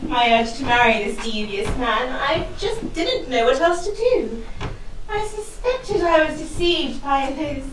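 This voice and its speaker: A woman's voice: sits high, animated, measured pace. A woman playing a naive, lovelorn young Victorian heroine, plaintive and melodramatic in a comic stage play. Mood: despairing, plaintive. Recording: echoey hall from far off, noisy.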